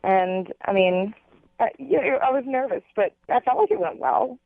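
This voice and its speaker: A woman's voice: on the high side, moderate pace, vocal fry, no echo. A woman phoning in to a radio show, casually explaining her personal story over a telephone line with some hedging. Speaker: phone-in guest on a radio show.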